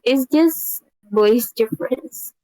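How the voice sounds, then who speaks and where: A woman's voice: slow pace, mellow, dry recording, poor audio. A young woman chatting casually on a live stream, rambling and giggling often. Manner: giggly, rambling, high-pitched.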